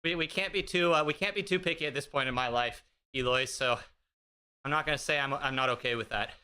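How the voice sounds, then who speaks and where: Bright-voiced joking male streamer. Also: mid-range voice, brisk, clean tone. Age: 30s. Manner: joking, self-deprecating, chatty; talks while riding an indoor bike. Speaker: fitness streamer. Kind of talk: casual live-stream chat with viewers. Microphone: streaming desk mic with noise gate.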